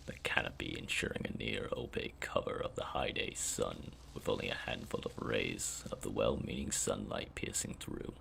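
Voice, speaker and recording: A man reads dark fantasy prose in an extremely low register. His voice is thick with heavy fry and often drops into breath, as a dramatic storyteller's reading. A faint noise sits behind him. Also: rough recording. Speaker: author reading own story. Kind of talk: dramatic scripted fantasy narration.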